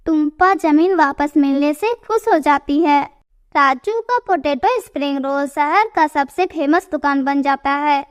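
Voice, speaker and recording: High-pitched woman narrating a cartoon story. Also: measured pace.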